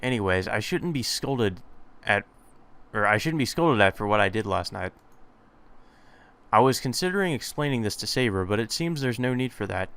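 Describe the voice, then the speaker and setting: A man's voice: fairly low pitch, pushed and bright, clear, resonant tone. A man reading game text aloud as a let's player, informally, with casual asides and some stumbles. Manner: informal, stumbles and rereads lines. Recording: game music behind the voice.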